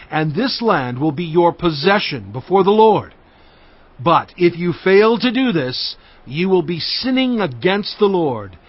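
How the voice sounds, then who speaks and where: A stern rebuking Moses. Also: male voice, fairly high pitch. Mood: solemn. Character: Moses, stern aging male prophet and leader. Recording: dull lo-fi audio drama recording.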